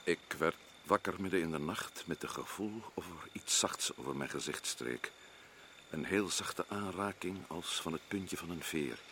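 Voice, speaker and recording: A man's voice: sits low, a little slow, bright, forceful tone, a little hiss or hum, heavily acted. A man playing a stern expedition leader in a radio play, questioning and giving curt orders. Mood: reading aloud. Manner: probing, commanding.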